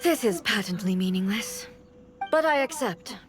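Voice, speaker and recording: A woman's voice: mid-range voice, animated, moderate pace, faint music behind, close to an everyday voice. A woman voicing a stern, immortal female ruler in a video game, formal, commanding and coldly blunt. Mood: solemn, stern. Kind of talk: dramatic video game acting.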